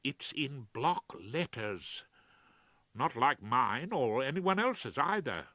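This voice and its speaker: A man's voice: medium pitch, sing-song, takes it slowly, sharp tone, no room sound, studio quality, exaggerated voice. A man voicing an anxious, aggrieved middle-aged male barrister, plaintive and indignant, in an audiobook. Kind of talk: audiobook character voice.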